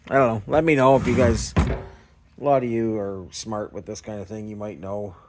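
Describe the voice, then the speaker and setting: A man's voice: on the low side, steady tone, moderate pace, noisy background. A Canadian man talking in a casual, unscripted vlog style, rambling and hesitant in a self-effacing way. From Canada, small-town Ontario. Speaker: scrap recycling vlogger.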